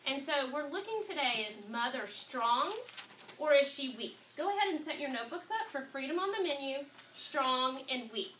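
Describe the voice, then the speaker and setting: A roomy, somewhat distant recording with clear background noise carries a woman teacher's voice. She reads aloud and instructs in a fairly high voice, stopping to put questions to her young students. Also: animated, moderate pace, bright, forceful tone. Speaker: fifth-grade teacher. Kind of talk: classroom read-aloud and instruction. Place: classroom.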